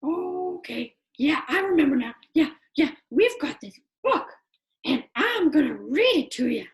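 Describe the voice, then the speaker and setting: A woman's voice: high-pitched, sing-song, unhurried, full character voice. A woman voicing an eager childlike puppet friend who reads a picture book to children in an exaggerated, wondering manner. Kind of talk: animated children's storybook read-aloud.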